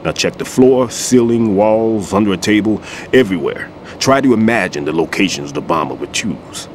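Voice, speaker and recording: An actor playing an older male bomb disposal expert, a patient mentor who explains things in a measured, instructive way in a game cutscene. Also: on the low side, expressive, a little hiss or hum, close to an everyday voice. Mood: calm instructive. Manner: instructive, reflective. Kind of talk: video game cutscene dialogue. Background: music score.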